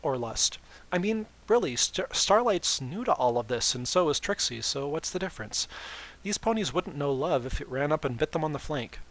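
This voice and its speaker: A man's voice: fairly high pitch, lively intonation, moderate pace, a bit bright. A man reading fan fiction as dramatic narration, with wry, informal asides.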